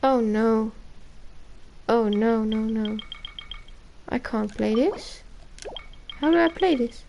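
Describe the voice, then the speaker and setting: A young woman streams a video game with casual commentary. Her voice is fairly high and she speaks slowly, in short bits, over faint background noise. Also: gravelly, a little mellow. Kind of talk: casual live gameplay commentary.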